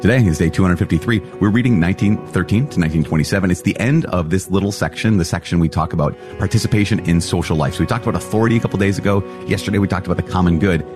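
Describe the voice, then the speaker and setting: A man's voice: sits low, racing, few pauses, over music. A man, a priest hosting a daily teaching show, talking conversationally and rephrasing his thoughts aloud. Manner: animated, thinks aloud and rephrases mid-sentence. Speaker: priest and podcast host.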